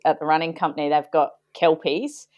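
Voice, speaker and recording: A woman's voice: sits low, steady tone, brisk, darkish tone, dry recording, dull audio. A woman co-hosting a sports nutrition podcast, chatting casually and laughing often. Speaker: podcast co-host.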